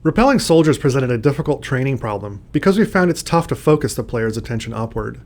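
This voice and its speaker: A man's voice: medium pitch, very animated, fast talker, darkish tone. A man giving scripted commentary as a game developer, explaining design choices.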